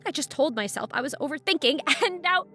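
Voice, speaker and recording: An actress playing an anxious, confrontational grown daughter, young, emotional and accusing, in an audio drama. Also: female voice, sits high, animated, brisk, fairly bright tone, soft background music. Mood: anxious regret. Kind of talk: modern audio drama acting.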